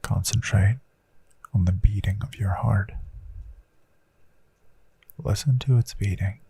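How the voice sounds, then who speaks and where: A gentle male hypnotist, acted by a man, murmurs a guided meditation in a very husky, breathy voice full of gravelly fry. He is soothing and deliberate, leaving long pauses, with a crisp bright edge to the hush. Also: fairly low pitch, no echo, exaggerated voice. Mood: hushed, intimate. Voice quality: breathy, often slipping into whisper. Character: gentle male hypnotist Count, calm and reassuring. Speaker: hypnotist, audio host. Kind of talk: slow hushed guided hypnosis meditation, ASMR.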